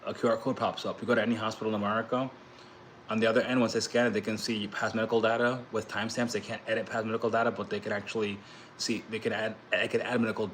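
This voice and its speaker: Casting: young man, low voice, chatty crypto vlogger, rambling and restarting, faint hiss behind. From Dominican heritage. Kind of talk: casual vlog monologue.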